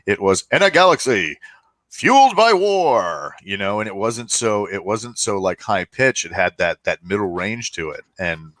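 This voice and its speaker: Very deep, bright-voiced American man, dry sound. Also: big pitch swings, measured pace, no room sound. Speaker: podcast co-host. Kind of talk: casual podcast chat.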